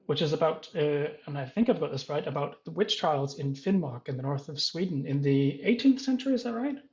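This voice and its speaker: Halting male moderator on an echoey call. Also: fairly high pitch, expressive, a little mellow, muffled recording. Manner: halting, self-correcting, apologetic. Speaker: panel moderator. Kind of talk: introducing panel guests.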